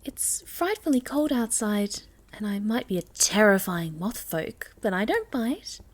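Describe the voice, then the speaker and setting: A woman playing a sweet, shy, gentle moth-girl monster in a soft, halting ASMR roleplay, often slipping toward a whisper. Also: mid-range voice, very animated. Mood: cozy, shy.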